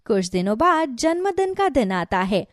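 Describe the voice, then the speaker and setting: Animated woman narrator, fairly high voice. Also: measured pace, no room sound, studio quality. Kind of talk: scripted children's story narration.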